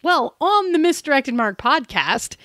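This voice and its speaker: Female podcast co-host, high-pitched, informal playful banter, very dry sound. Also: big pitch swings, unhurried, fairly bright tone, dry recording.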